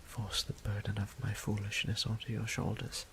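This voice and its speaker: A man playing a gentle, apologetic old vampire priest in an intimate audio drama, speaking in formal, hesitant phrases with a breathy half-whisper. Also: fairly low pitch, monotone, moderate pace, slight background noise. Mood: apologetic, flustered. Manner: hesitant, formal old-fashioned wording, tender.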